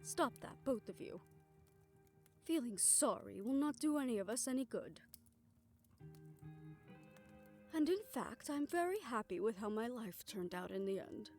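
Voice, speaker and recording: A woman playing a gentle, forgiving survivor in an intimate audio drama, talking in a composed, reassuring, measured way. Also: a little high, very animated, soft background music. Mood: tender, content. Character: gentle, forgiving woman, once experimented on, now at peace. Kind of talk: soft, intimate audio roleplay acting.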